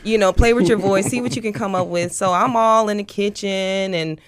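An American woman with a medium-pitched voice chats as an actress in an interview. She is expressive and emphatic, recorded very dry. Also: brisk, dry recording. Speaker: guest, stage actress. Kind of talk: casual interview chat.

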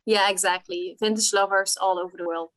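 A woman's voice: medium pitch, steady tone, a little fast, fairly bright tone. Dutch woman in her twenties, guest on a podcast, earnest, mid voice. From Netherlands. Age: 20s. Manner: earnest, talks in lists with fillers. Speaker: marketing team lead guest. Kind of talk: casual interview chat.

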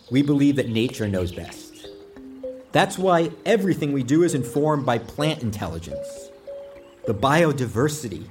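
Casting: actor as male eco-utopia guide, lively swooping delivery, grand and welcoming, audio drama. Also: mid-range voice, lightly acted. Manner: welcoming, grandly earnest, presentational. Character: effusive male tour guide of a plant utopia.

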